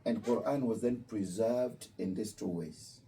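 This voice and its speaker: A low-pitched man with a very dark, mellow voice explains his faith to visiting students. He is patient and repeats key points slowly. He sits some way from the mic, with faint room noise behind him. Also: far from the mic. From Kenya. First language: Luo. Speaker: imam.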